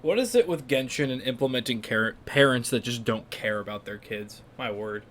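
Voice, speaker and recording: A woman with an extremely low voice comments casually while playing a game. Her asides are wry and deadpan. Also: moderate pace. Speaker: gaming video creator.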